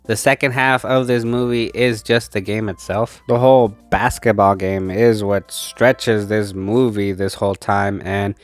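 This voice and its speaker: A man's voice: fairly even intonation, unhurried, few pauses. A man hosting a movie review channel, sounding congested and tired as he recovers from losing his voice, speaking close into a microphone. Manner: low-energy, tired. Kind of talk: casual spoken review. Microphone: speaking close into a mic, voice-over.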